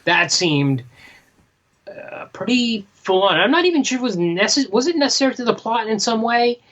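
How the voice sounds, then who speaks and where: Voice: mid-range voice, sing-song, moderate pace, a bit bright, dull audio. Casual podcast co-host thinking aloud. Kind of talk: casual comic book discussion.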